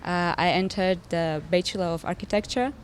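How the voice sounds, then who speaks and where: A young woman with a lowish voice for a woman, speaking English with Russian as her first language. She tells her story in a friendly, easy way and laughs often. Soft room ambience sits faintly behind her. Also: fairly quick, slight background noise. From Armenia, raised in Russia. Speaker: guest, interior designer. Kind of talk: casual podcast interview.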